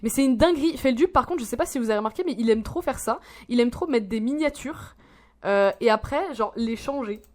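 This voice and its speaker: A woman's voice: a little high, expressive, fairly quick, darkish tone. A woman in her twenties, rambling and swearing as she reacts to a video in casual slang. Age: 20s.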